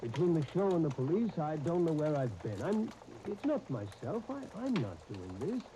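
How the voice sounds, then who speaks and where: Faint crackle of an old radio disc surrounds a harried showman. His fairly high, widely moving voice hesitates and pleads as he justifies himself in 1930s drama style. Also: sing-song, moderate pace. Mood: apologetic, strained. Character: harried, apologetic, hard-pressed theatrical producer.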